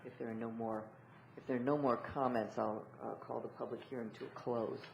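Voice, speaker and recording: An American man moderates a formal public meeting. He speaks haltingly, feeling his way through procedure. The room is echoey, with clear background noise. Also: medium pitch, level tone, highs cut off. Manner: hesitant, halting. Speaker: meeting chair. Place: indoor council meeting room.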